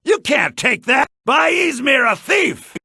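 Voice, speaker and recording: A sly, grasping merchant from a fantasy game, with a gravelly, husky male voice pitched high. His delivery is theatrical and swings widely in pitch. The audio is a compressed, low-bitrate game rip. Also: very animated, slow pace, sharp tone, no echo, shouting, clean studio sound, heavily acted. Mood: alarmed, angry. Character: sly fantasy male shopkeeper, grasping, quick to yell at thieves. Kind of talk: video game character barks.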